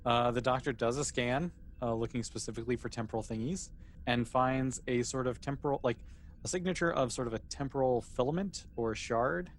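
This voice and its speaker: A man running a tabletop game as game master, narrating casually and haltingly as he thinks aloud. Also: mid-range voice, slight background noise.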